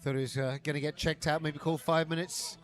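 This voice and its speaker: A high-voiced man calls a live drift event with bursts of excitement and silly asides. Loud music plays behind him the whole time. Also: a little fast. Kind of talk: live motorsport commentary.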